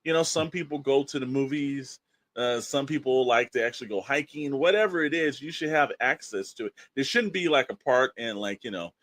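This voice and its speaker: A man's voice: mid-range voice, brisk, a bit bright, no room sound. A man hosting a casual podcast, giving opinions in a rambling, emphatic, exasperated way with storytelling and quoted asides. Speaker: podcast host.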